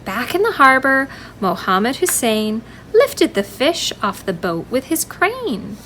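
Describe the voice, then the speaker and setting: Female children's storytime reader, high voice, unhurried and very animated, friendly sing-song delivery, clear background noise. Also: poor audio. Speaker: children's library storytime host. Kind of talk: expressive read-aloud of a rhyming picture book for young children.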